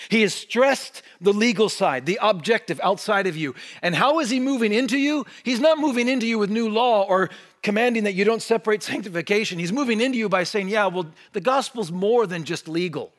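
A man in his forties preaching a sermon from a pulpit, animated and emphatic, dropping to near-whispers on key words. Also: high-pitched, fairly quick, few pauses. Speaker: pastor. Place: church stage behind a wooden pulpit.

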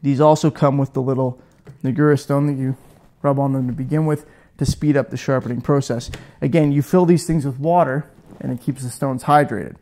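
A man's voice: mid-range voice, brisk. Chatty man demoing in his garage. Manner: chatty, self-joking, animated with hands. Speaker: woodworking channel host. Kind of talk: casual how-to demo. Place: garage workshop, indoors. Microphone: lapel mic.

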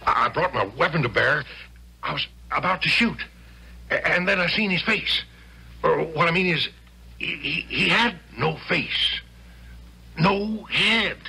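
An actor playing a frightened, earnest army sergeant, raspy and stammering, in a seventies radio drama. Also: male voice, fairly high pitch, expressive, a little slow, long pauses, sharp tone, exaggerated voice. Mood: frightened, shaken. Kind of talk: 1970s radio drama acting.

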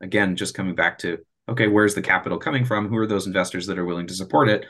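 A man's voice: low voice, quick pace, fairly bright tone. A man in his thirties giving an earnest, explanatory answer on a video call, often restarting his words. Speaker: presenter, space project developer. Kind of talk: explanatory presentation and Q&A. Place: small room at home or office, on a video call. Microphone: video call computer microphone.

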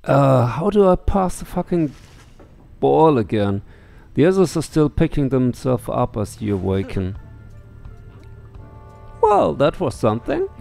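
A man's voice: mid-range voice, animated, unhurried, creaky, dark tone. A middle-aged man doing let's play commentary and reading game text aloud into a headset. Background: game music.

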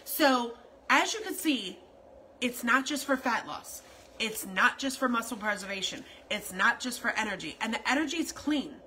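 A woman's voice: on the high side, expressive, normal speed, sharp tone, slight background noise, rough recording. A woman in her forties talking casually and repetitively on a phone live stream from her home kitchen, promoting a wellness product in a rambling, salesy way. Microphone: phone camera at arm's length.

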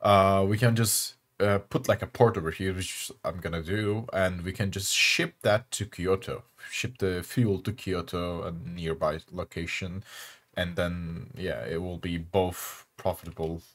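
A young Turkish man with a Turkish accent, speaking English as a second language, hosting casual gameplay commentary with many pauses and fillers. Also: low-pitched, fairly even intonation, a bit bright. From Turkey. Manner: halting, many fillers and restarts. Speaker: channel host.

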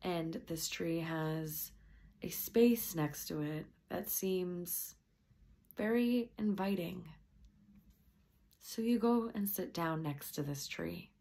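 A woman softly and gently leading a guided meditation, at times slipping into a breathy near-whisper. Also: on the low side, slow.

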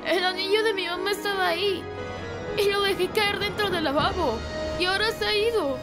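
Young schoolboy, earnest, very high-pitched, expressive, cartoon dub, loud music behind. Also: female voice, a little fast. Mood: distressed. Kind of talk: dubbed children's cartoon acting.

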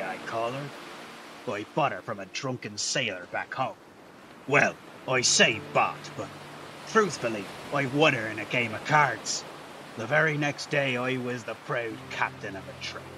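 An actor playing a genial, folksy ship captain in a fantasy game dub. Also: male voice, medium pitch, measured pace, recorded from a distance, noisy background, close to an everyday voice. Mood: amiable, boastful. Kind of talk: video game character dub.

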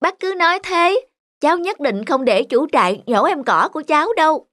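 A woman's voice: high voice, lively intonation, normal speed, a bit bright, dry recording, studio quality. A woman voicing a young, earnest cartoon plant with an exclamatory, plaintive, childlike manner. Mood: insistent. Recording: music behind the voice.

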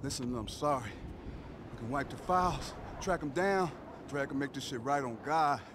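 A man playing a desperate, defiant street hustler in a crime video game, with an American accent and a profane, excitable delivery. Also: mid-range voice, moderate pace, close to an everyday voice. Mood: pleading. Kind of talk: video game cutscene acting. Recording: clear background vehicle and ambience noise.

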